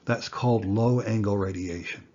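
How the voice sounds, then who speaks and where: Low, husky man teaching, slightly muffled. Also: normal speed, darkish tone. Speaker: instructor, amateur radio teacher. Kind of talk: informal explanatory lesson.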